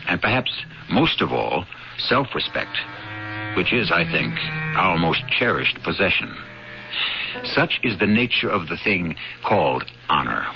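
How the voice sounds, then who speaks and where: A dull old radio recording with music carries a man hosting a mystery drama. He narrates in a low voice, measured and reflective, with expressive rises and falls. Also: creaky. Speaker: host.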